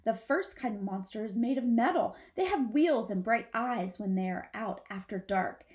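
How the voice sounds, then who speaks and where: A woman's voice: fairly high pitch, expressive, normal speed, darkish tone, lightly acted. A woman voicing a mother possum in a children's storybook, warning and teaching her babies in an emphatic, instructive way. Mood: grave warning.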